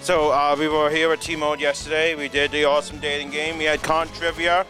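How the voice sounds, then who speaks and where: A young man co-hosting a convention video, riffing playfully and running with silly gags. His voice sits a little high. Music plays clearly behind him. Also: flat delivery, fairly quick, pushed and bright. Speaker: co-host of convention coverage. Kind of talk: casual joking banter.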